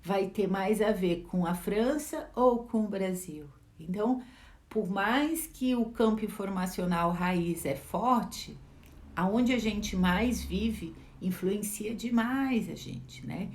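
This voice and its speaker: A woman's voice: mid-range voice, slow pace, darkish tone, a little hiss or hum. A middle-aged Brazilian woman, a life educator, gives a reflective teaching talk with a Brazilian Portuguese accent, posing rhetorical questions and adding frequent tag questions. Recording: echoey room.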